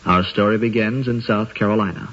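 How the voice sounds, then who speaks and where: A man's voice: on the low side, steady tone, brisk, fairly bright tone. Male old-radio narrator over music. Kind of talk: scripted 1950s radio documentary narration. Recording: dull 1950s radio recording.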